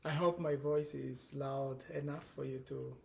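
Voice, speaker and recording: A man in his thirties from Angola with a Portuguese accent, a guest speaking in an earnest, measured way, with frequent restarts. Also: medium pitch, steady tone, vocal fry, a little mellow. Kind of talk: casual conversation.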